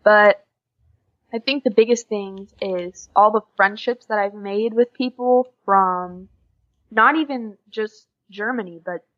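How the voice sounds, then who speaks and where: A young woman with an American accent talks casually as an interview guest. She has a mid-pitched voice and a chatty storytelling manner. The recording is a little dull and lo-fi. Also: takes it slowly. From USA. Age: young adult. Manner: chatty, animated storytelling with quoted dialogue and frequent giggles. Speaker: podcast guest, exchange student. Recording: slightly dull, lo-fi audio.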